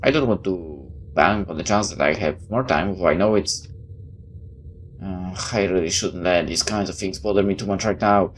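Gameplay host, low register, expressive read-aloud with casual asides, faint noise behind. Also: normal speed. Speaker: gameplay host reading a visual novel aloud. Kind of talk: expressive reading of game text with casual commentary. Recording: clear background noise.

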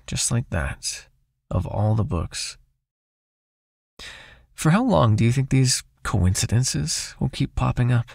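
Slow, gravelly man voicing a seductive vampire hypnotist. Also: mid-range voice, no room sound, studio quality, full character voice. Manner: slow, soothing, teasing, softly commanding. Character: seductive, possessive male vampire hypnotist, calm and doting. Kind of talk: intimate ASMR hypnosis roleplay.

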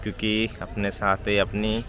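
Chatty vlogger, low voice. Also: male voice, level tone, measured pace, a bit bright. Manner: chatty, addresses viewers as brother and friends. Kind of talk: casual vlog chat to camera. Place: outdoors by a road at dawn, later indoors in a room.

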